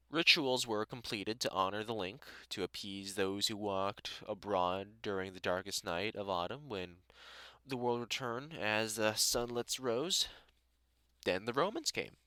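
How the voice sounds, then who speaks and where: A man's voice: fairly low pitch, bright, forceful tone, clean tone, no echo. A British man playing a dry, sarcastic vampire hunter in a conversational audio roleplay, chatting informally to the listener. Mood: measured, storytelling. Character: gruff, sardonic but welcoming male vampire hunter.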